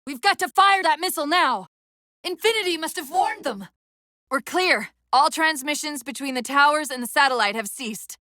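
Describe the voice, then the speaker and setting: A woman playing a brisk, wry, urgent military AI companion in a video game, giving crisp commands and tactical briefings. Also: high voice, lively intonation, bright, forceful tone, no room sound, clean studio sound. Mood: urgent, alarmed.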